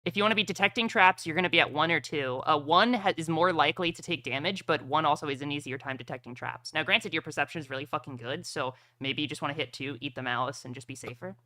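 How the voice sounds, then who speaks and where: A woman's voice: low voice, fast talker, hardly any pauses, bright, forceful tone, dry recording. A woman acting as game master for a streamed tabletop roleplaying game, talking casually with gamer slang.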